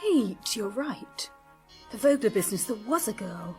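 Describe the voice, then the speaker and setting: An actress playing an imperious, scheming mistress of the house in a vintage-style radio drama, commanding and impatient. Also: female voice, fairly high pitch, sing-song, moderate pace, a little mellow, faint music behind. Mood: alarmed, commanding. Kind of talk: vintage pulp detective radio drama acting.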